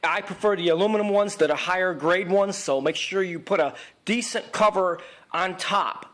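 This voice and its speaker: A man with a distinctly high voice talks through a technical tutorial. He sounds like an experienced repair technician speaking informally. His delivery is plain-spoken and emphatic, circling back to repeat key advice. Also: normal speed, a bit bright. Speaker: video equipment repair technician giving a tutorial. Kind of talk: informal instructional narration over pictures.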